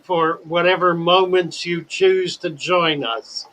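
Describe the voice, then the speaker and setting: An older man hosting a casual livestream chat, talking in a slow, wordy and deliberate way and telling stories. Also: on the high side, fairly even intonation, a bit bright, low-quality recording. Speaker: livestream host.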